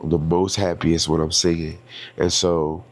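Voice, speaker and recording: A man's voice: low-pitched, level tone, moderate pace. A man with a stuffy nose hosting his own podcast, talking in a casual, reflective and rambling way with lots of fillers. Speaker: podcast host and singer. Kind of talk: casual solo podcast monologue.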